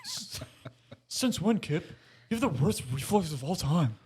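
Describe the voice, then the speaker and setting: Man doing a raspy, sulky teenage boy. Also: high voice, sing-song, a little slow, a little mellow, exaggerated voice. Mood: exasperated. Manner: petulant, put-upon. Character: sullen, exasperated, whiny teenage boy in a comedy. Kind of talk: comedy script read.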